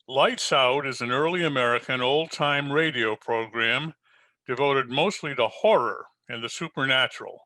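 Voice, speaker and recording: A man reading a scripted radio introduction as announcer, in a measured, formal way. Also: mid-range voice, pushed and bright.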